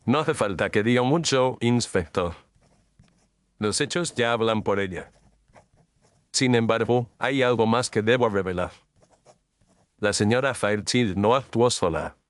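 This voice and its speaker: A gentleman detective in an audio story, acted by a man, with a lowish voice in a dry, close recording. He is composed and formal, setting out his reasoning with deliberate, cool precision. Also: dry recording, lightly acted. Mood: measured, firm. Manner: formal, deliberate, coolly accusing. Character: cool, incisive, measured male gentleman detective. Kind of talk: dramatized audio story acting.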